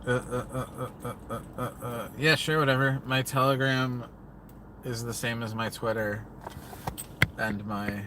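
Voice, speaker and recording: A man's voice: mid-range voice, steady tone, measured pace, noisy background. Rambling young man streaming from his car. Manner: rambling, self-talking, scattered. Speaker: livestreamer. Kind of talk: casual livestream chat. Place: inside a parked car at night. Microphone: phone camera at arm's length.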